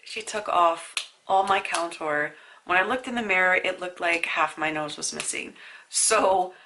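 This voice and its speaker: A woman's voice: lowish voice, a little slow, pushed and bright, slight background noise, low-quality recording. A young woman with a stuffy nose from a sinus infection, chatting casually through a makeup tutorial with asides to the viewer. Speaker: beauty vlogger.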